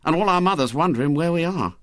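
A man voicing a nervous, excitable schoolboy who anxiously throws out ideas. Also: a little high, no echo, clean studio sound, pitched above the performer's own voice. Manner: anxious, quick to suggest.

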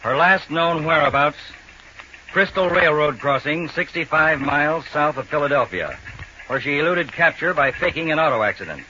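An actor playing a stern counterspy chief, giving a clipped, authoritative bulletin in an old radio drama. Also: male voice, fairly high pitch, expressive, normal speed, bright, forceful tone. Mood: urgent authority. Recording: dull old radio recording, faint noise.

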